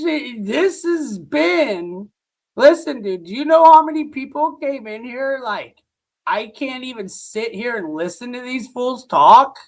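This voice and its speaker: A man's voice: high-pitched, a bit bright. A man hosting a livestream, giving mocking live reaction commentary with a sore throat. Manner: mocking, laughing ranting. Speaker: livestream host.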